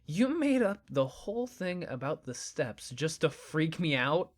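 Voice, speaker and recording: A woman's voice: low voice, sing-song, measured pace, heavily acted. A woman playing a stubborn, skeptical young friend in a naturalistic audio drama, with a dry, flippant delivery. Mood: annoyed, stubborn.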